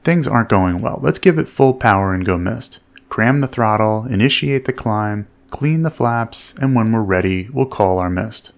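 A man narrating as a flight instructor in a measured, explanatory way over flight footage. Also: lowish voice, lively intonation, soft background music.

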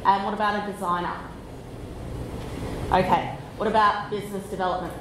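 A woman with an Australian accent giving a conference talk, loud and chatty, slowing down on purpose. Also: mid-range voice, little pitch movement, reverberant, background noise. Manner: loud, chatty, deliberately slowed. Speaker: conference speaker. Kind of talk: conference presentation. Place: conference room.

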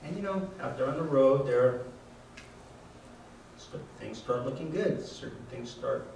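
An older man reading his poems aloud in a measured, wry way, with casual asides between them. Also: mid-range voice, expressive, creaky, a little mellow, a little hiss or hum. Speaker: poet reading his work. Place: lecture room at a reading series. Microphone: handheld mic on a stand, close. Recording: echoey room, mic at a distance.

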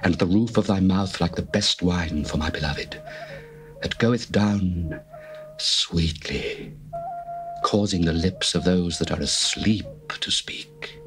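A man's voice: low voice, slow pace. A man voicing a solemn, adoring lover of old. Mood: tender. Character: adoring male lover speaking to his bride. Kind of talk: dramatized scripture reading. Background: faint music.

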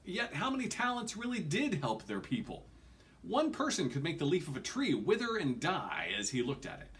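Animated man reading a story aloud. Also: on the high side, normal speed. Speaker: reader. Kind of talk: expressive audiobook-style reading of a fantasy novel. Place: small home room, indoors.

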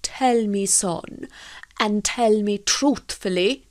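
A woman voicing a shrewd, fatherly male village priest in a storybook tale, speaking in a measured, firm way. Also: mid-range voice, a little mellow, lightly acted. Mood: amused probing.

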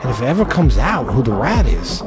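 A man's voice: mid-range voice, sing-song, brisk, close to an everyday voice. An actor playing a rattled, boastful male city official who talks agitatedly and loosely in an audio drama. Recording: loud music behind.

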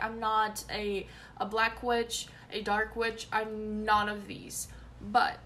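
A woman's voice: on the high side, bright, forceful tone, slight background noise. Chatty young woman, Ukrainian native speaker. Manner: rambling, many fillers and restarts. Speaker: vlogger. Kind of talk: casual chat to camera. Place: small bedroom indoors. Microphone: phone camera close up.

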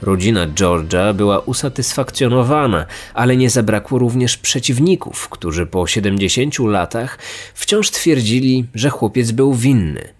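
A man's voice: mid-range voice, animated, normal speed. A man hosting a podcast, telling true stories as scripted documentary narration. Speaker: true crime podcast host.

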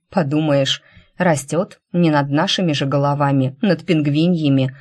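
Woman reading an audiobook, soft warm tone, really animated intonation, first person story. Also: sits low. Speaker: audiobook narrator. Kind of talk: scripted first-person fiction narration.

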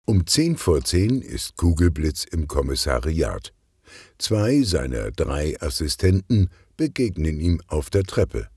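A man narrating a children's detective story in an expressive, clearly articulated way. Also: extremely low pitch, takes it slowly, no echo, clean studio sound. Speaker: audiobook narrator.

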